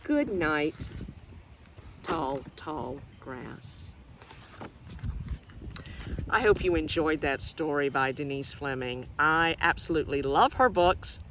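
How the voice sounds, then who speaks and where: A woman teacher reading a picture book to young children outdoors, expressive and asking them questions directly as she explains things simply. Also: low-pitched, takes it slowly, pauses often, slight background noise. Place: outdoors in nature.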